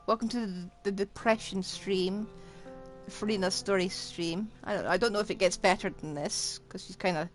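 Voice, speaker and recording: Casual woman streaming a game, mid-pitched. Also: fairly even intonation, moderate pace, soft background music.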